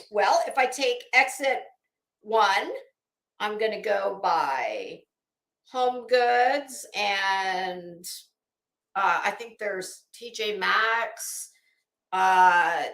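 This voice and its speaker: A middle-aged woman hosting a casual livestream, chatting to viewers in a rambling, expressive way with self-deprecating asides. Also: high voice. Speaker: livestream host. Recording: roomy, echoey indoor sound.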